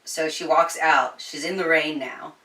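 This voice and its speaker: A woman with a bright, lowish voice reviews a book in a casual, chatty way. She is slangy, swears freely and tells the story with animation. The room is echoey and she sits a little off the mic. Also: measured pace, far from the mic. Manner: informal and slangy with frequent swearing; animated storyteller, voicing quoted dialogue.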